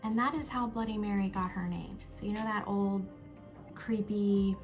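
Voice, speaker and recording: A young woman hosting a casual vlog, chatting in an upbeat way while doing her makeup, sometimes dropping to a soft whisper. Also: medium pitch, steady tone, moderate pace, faint music behind. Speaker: YouTube host.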